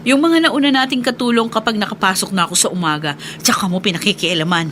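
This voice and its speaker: A woman's voice: medium pitch, fast talker, lightly acted. An actress playing a guarded, secretive mother and household employer in natural radio drama dialogue. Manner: natural dialogue with hushed confiding asides. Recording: noisy radio drama with background ambience.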